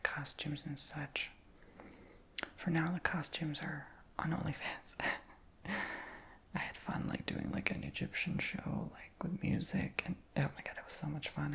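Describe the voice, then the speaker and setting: A man whispering through a rambling ASMR storytime chat, his voice high-pitched for a man, with frequent ums and likes. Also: gravelly, a bit bright. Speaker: ASMR creator.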